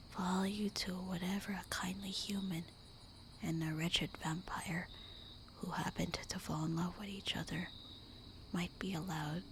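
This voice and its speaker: A woman voicing a tender, melancholy immortal vampire wife in a breathy, intimate whispered ASMR roleplay. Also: lowish voice, a bit bright, slight background noise. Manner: slow, intimate, wistful murmuring.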